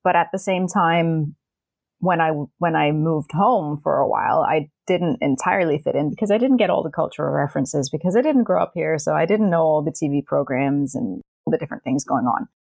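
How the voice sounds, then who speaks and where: A woman's voice: sits low, fairly quick, gravelly, a little mellow, no room sound. A woman in her thirties whose first language is Danish, a parenting coach speaking as a podcast guest in a friendly, reflective way. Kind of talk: casual interview chat.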